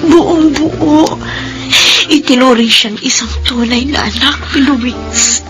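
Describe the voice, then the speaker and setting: Very high-voiced anguished Filipino mother. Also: slow. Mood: sorrowful confession. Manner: tearful, pleading, melodramatic. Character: anguished mother of a sick child, prayerful and guilt-ridden. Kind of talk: Filipino radio drama acting. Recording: music under the voice.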